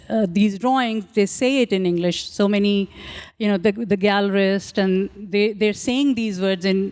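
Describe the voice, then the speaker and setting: A woman artist whose first language is Urdu, speaking reflectively on a panel in a large auditorium, pausing often with fillers and restarting sentences. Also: fairly high pitch, a little mellow, clear, resonant tone. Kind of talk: panel talk about her art.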